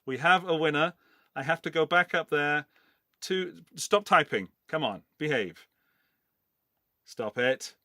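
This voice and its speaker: Bright-voiced chatty man. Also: on the high side, animated, unhurried, long pauses, no room sound, studio quality. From England, Forest of Dean in Gloucestershire. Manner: rambling, chatty, loose asides to chat. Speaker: livestream host running a giveaway. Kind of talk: casual live-stream chat.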